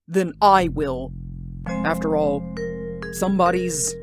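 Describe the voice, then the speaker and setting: A man's voice: a little high, slow, over music. An actor playing an anxious, insistent man who keeps seeing something in a window, in a 1940s radio drama. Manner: earnest and insistent. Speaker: worried neighbor.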